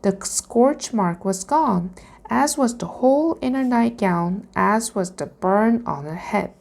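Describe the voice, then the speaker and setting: A woman reading a children's storybook aloud in a deliberate way. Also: medium pitch, expressive, vocal fry, darkish tone. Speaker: reader practicing reading aloud.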